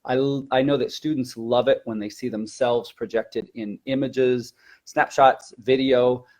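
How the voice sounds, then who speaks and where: Male teacher trainer, mid-pitched, conversational and explanatory, webinar delivery with demonstrated classroom instructions. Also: steady tone, unhurried, a little mellow. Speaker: teacher trainer, elementary language teacher.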